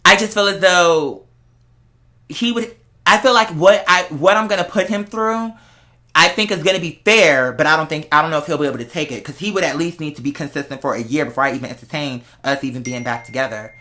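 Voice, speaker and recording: A man's voice: on the high side, brisk, sharp tone. A young American man talks casually and candidly in a chatty monologue, with a sassy, slangy, emphatic manner and dramatic asides. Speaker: vlogger.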